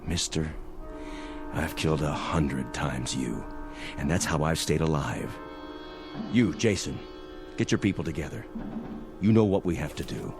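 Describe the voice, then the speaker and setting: An actor playing a ruthless, commanding armed stranger who incites starving villagers in oratorical, taunting 1960s television drama style. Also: male voice, a bass voice, a little slow, long pauses. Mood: menacing. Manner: domineering, taunting, oratorical, barking orders. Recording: old television soundtrack with loud music.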